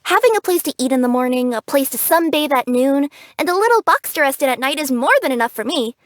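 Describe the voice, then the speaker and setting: Game role: young cat yokai delivery girl, high-pitched, bubbly, curious and earnest, very dry close studio sound. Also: expressive, fast talker, dry recording. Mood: puzzled, musing. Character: cheerful young female cat yokai package courier. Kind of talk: English video game character voice acting.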